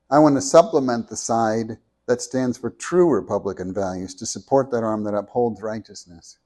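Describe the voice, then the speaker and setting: A soft-spoken man reading a prepared talk into a handheld microphone at a meeting, measured and deliberate, with small restarts. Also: lowish voice. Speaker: speaker at a meeting. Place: meeting room with an audience.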